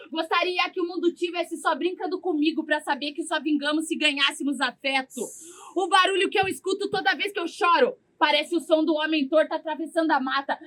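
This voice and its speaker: Poeta brasileira de voz extremamente aguda. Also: female voice, very high-pitched, fairly bright tone, slight background noise. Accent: Brazilian. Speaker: slam poet. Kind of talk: spoken word poetry declamation.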